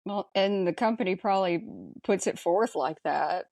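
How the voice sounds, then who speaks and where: A woman's voice: mid-range voice, normal speed, darkish tone, dry recording, clean studio sound. A woman hosting a podcast, chatting casually in a blunt, sardonic manner. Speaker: podcast host. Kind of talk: casual chat.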